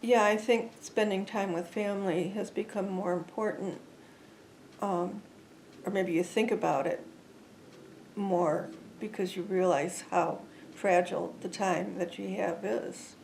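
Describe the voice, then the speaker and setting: Gravelly-voiced woman, quick and conversational. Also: mid-range voice, fairly even intonation, a little mellow, a little hiss or hum, rough recording. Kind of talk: reflective interview.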